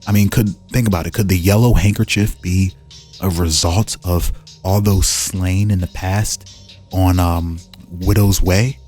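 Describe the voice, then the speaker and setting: A man's voice: deep voice, fairly even intonation, unhurried, darkish tone. A man hosting in a casual style, reading game lore aloud and breaking off into musing, informal asides. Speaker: host presenting fan-made game lore. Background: music.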